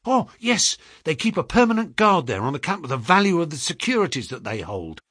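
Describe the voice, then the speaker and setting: Man playing a distraught young clerk, high-pitched. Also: very animated, a little fast, a bit bright, slightly rough, dry recording, studio quality, exaggerated voice. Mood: eager. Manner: groaning, crying out, urgent. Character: distraught, excitable young male clerk, duped and pleading.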